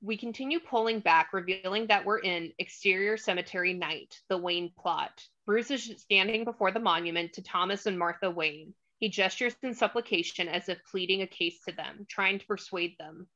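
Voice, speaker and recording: A woman narrating screenplay stage directions in a crisp, dramatic scene-setting manner. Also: medium pitch, normal speed, hardly any pauses, bright, forceful tone, dull audio.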